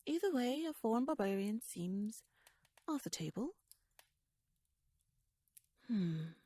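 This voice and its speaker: A woman playing a shrewd, teasing royal noblewoman in an ASMR audio roleplay, speaking softly in a wry, courtly, measured way that slips toward a whisper. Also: fairly high pitch, very animated, husky, no echo, heavily acted. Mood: probing, wry.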